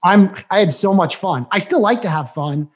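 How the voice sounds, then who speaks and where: A man's voice: high-pitched, animated, normal speed. A man in his thirties co-hosting a casual interview, talking with nostalgic enthusiasm. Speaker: podcast co-host.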